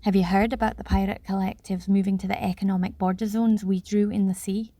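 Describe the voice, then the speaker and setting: A woman acting a practical, quietly doubtful parliament delegate in a radio play, measured and formal. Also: mid-range voice, little pitch movement, no room sound, close to an everyday voice.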